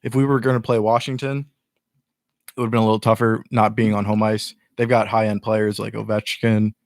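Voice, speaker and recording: A man's voice: on the low side, little pitch movement, brisk, no echo, clean studio sound. A young man guesting on a casual chat show, earnestly breaking down his team's chances. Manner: earnest, explains at length with restarts.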